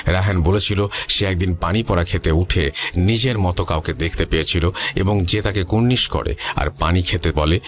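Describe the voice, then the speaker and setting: A man narrating a scripted ghost story as a horror storyteller. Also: deep voice. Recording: music bed under the voice. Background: background music.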